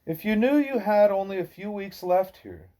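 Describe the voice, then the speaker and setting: Mellow, dark-voiced man reading a novel. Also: sits high, animated, moderate pace. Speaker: audiobook reader.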